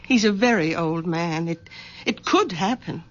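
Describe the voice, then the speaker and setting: An anxious, gentle daughter. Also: female voice, on the low side, expressive, measured pace. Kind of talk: 1940s radio acting. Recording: lo-fi old radio broadcast.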